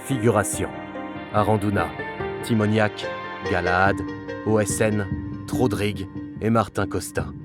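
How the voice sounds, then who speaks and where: A man's voice: on the low side, steady tone, a little slow, long pauses. A man reading scripted end credits as the announcer of an audio production. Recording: voice over prominent music.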